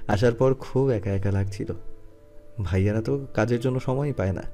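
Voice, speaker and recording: A man's voice: fairly low pitch, normal speed, close to an everyday voice. A man voicing a friendly young man newly back from abroad in an audio story. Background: soft music.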